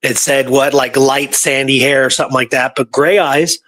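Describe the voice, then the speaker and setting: A man's voice: medium pitch, steady tone, normal speed, fairly bright tone, no echo. A man co-hosting a casual history discussion, speaking emphatically and repeating points for stress. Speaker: co-host of a history talk show.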